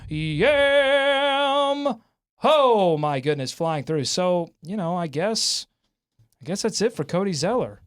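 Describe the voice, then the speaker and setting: A man hosting a casual sports talk show, bantering with fans and co-hosts in an excitable, animated way. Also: high-pitched, takes it slowly, clean tone. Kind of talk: casual sports fan banter with comments and highlights.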